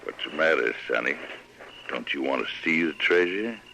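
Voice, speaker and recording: An actor playing a brutal, sly drunken outlaw in an old radio western, taunting and threatening in a folksy American Western drawl. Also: male voice, very deep voice, expressive, moderate pace, vocal fry, sharp tone, full character voice. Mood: mocking menace. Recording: very noisy, crackly old radio broadcast.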